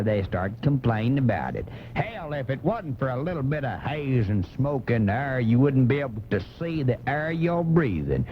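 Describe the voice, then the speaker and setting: A man's voice: mid-range voice, slight background noise, lightly acted. A man voicing an angry, blustering American redneck commentator in a folksy, indignant comic radio rant. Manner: folksy, indignant, drawn-out insults. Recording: muffled off-air cassette, faint music.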